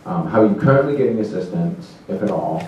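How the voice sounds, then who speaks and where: A man in the audience asks a long question and hesitates often, with many ums and uhs. His voice is very deep. He is heard from far off in a very echoey hall, and the sound is dull. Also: animated, fast talker, dark tone, a little hiss or hum. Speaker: audience member asking a question. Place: conference hall.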